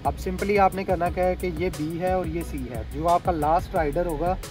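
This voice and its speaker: Male tutorial host, slightly high voice, casual and explanatory, English words mixed in, music clearly behind. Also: fairly even intonation, normal speed, a little mellow. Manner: explanatory, mixes English words into his speech. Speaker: motovlog host giving a product tutorial. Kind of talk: casual step-by-step how-to narration. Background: background music.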